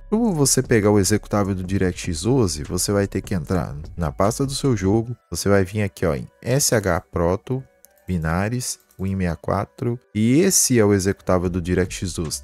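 A man's voice: low voice, darkish tone. A man with a Brazilian Portuguese accent talks through an informal gaming tutorial step by step, with colloquial verbal tags. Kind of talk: informal screen-share tutorial with live commentary.

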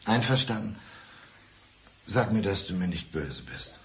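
A man's voice: low voice, animated, a little slow, husky, exaggerated voice. An actor playing an obsessive, volatile man in a German radio crime drama, intense and insistent in manner. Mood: anxious, pleading. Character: obsessive, volatile, alcohol-prone man, menacingly tender. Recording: studio radio drama with music.

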